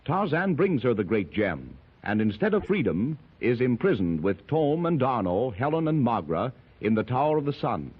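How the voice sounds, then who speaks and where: A man's voice: medium pitch, big pitch swings, moderate pace, hardly any pauses, breathy edge. A man narrating an adventure radio serial in a declamatory, dramatic style. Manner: declamatory, rising with the action. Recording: hissy muffled 1930s radio transcription.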